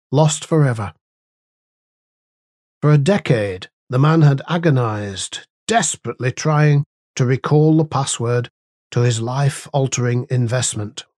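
Slow, measured man reading a devotional. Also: medium pitch, a little mellow, no room sound, studio quality. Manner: measured, deliberate phrasing with pauses. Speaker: devotional reader and host. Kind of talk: scripted devotional reading with scripture and prayer.

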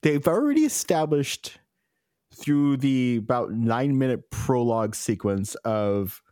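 A man co-hosting a film podcast, joking and animated, laughing often. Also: medium pitch, a little slow, no echo, studio quality. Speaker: podcast co-host. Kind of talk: casual chat.

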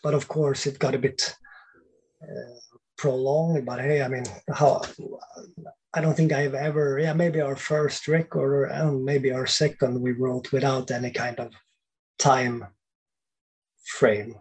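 A man who sings in a band, talking as a podcast guest in a halting, thoughtful way, often restarting his sentences. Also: mid-range voice, flat delivery, darkish tone, slightly rough, low-quality recording. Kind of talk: casual interview chat.

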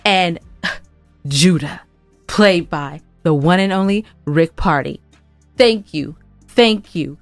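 Gushing lower-pitched woman podcast co-host. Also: slow pace, long pauses, dry recording, faint music behind. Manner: effusive, gushing, emphatic repetition. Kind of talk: casual recap chat.

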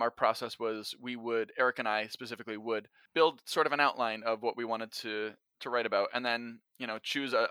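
Bright-voiced man chatting as a guest. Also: mid-range voice, smooth, dry recording. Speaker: podcast guest, audio drama writer. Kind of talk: casual conversational interview answers.

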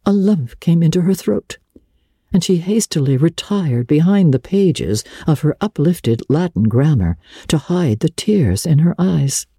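A woman's voice: low voice, expressive, measured pace, dark tone, breathy edge, dry recording, clean studio sound. A woman narrator giving a dramatic, scripted reading of classic literature.

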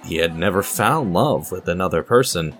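A man's voice: low voice, expressive, normal speed. A man narrating a scripted dramatic story, reading as a storyteller. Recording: music under the voice.

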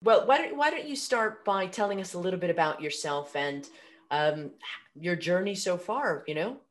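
A woman's voice: lowish voice, expressive, normal speed. A woman hosting an interview podcast, introducing a guest and asking conversational questions with occasional restarts. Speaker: podcast host.

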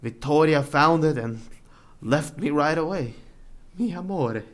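An actor playing an aggrieved, petty mobster on the run in a comic audio drama, earnest and indignant. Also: male voice, a little high, animated, measured pace.

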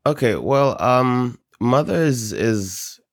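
A man's voice: medium pitch, lively intonation, slow pace, no room sound, studio quality. A man from Zambia, a native Bemba speaker, chatting casually and candidly as a singer-songwriter being interviewed.

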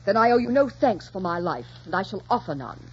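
A woman's voice: mid-range voice, very animated, fairly quick, slightly rough. An actress playing a haughty, wounded noblewoman in a 1940s radio drama, theatrical, scornful and impassioned. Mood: scornful, defiant. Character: haughty, defiant widowed English noblewoman, sharp-tongued and wounded. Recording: muffled, noisy 1940s radio recording.

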